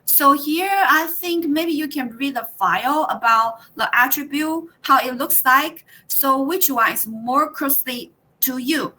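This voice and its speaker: A woman in her thirties with a Taiwanese accent runs an online teacher workshop over a video call. Her voice is bright and fairly high. She talks in halting bursts, full of fillers and restarts. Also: rough recording. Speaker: teacher trainer leading a workshop.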